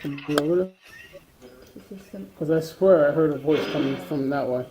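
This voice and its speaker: A man on a ghost hunt who talks loudly and jokes, calling out to spirits. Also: a little high, steady tone, normal speed, background noise. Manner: loud, joking, calls out to spirits. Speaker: paranormal investigator. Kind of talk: casual ghost-hunt chatter.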